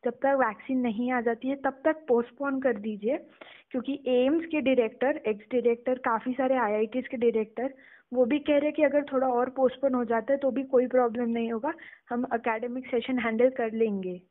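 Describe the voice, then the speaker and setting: A woman's voice: fairly high pitch, fairly even intonation, moderate pace. A young woman with an Indian accent, a student caller making a deferential appeal over the telephone. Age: young adult. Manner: deferential, often saying "sir". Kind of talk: phone conversation, making an appeal. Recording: muffled, narrow-band recorded phone call.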